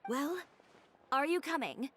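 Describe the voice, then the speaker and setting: An actress playing a blunt, defiant young seamstress in a video game, clipped, deadpan and assertive. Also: female voice, high voice, unhurried, slight background noise. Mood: cool, teasing. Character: cool, blunt young female seamstress, self-assured, defiant.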